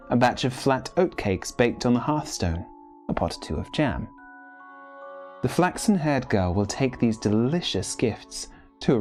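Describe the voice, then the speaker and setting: Low-voiced man reading a dark fairy tale. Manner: measured, deliberate phrasing with pauses. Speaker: story reader. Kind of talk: dramatic literary audiobook narration.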